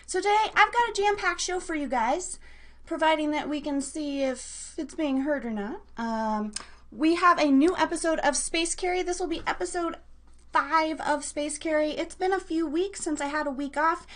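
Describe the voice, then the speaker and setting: A woman's voice: high voice, normal speed. An American woman hosting a casual live show in a bubbly, chatty way with playful wording. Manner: bubbly, playful word coinages. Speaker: radio show host.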